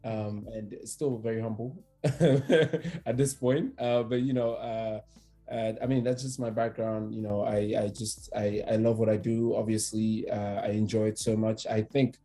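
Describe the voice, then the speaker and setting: A man from Cameroon in his thirties, an immigration attorney speaking as a podcast guest, relaxed and hesitant with many fillers and restarts. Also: on the low side, measured pace, faint music behind. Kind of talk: conversational podcast interview.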